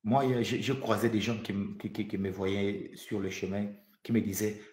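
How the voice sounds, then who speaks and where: A man's voice: lowish voice, level tone, normal speed, darkish tone, echoey room. A man from the Democratic Republic of the Congo preaching a prophetic sermon, fervent, repeating phrases for emphasis and stammering when he restarts.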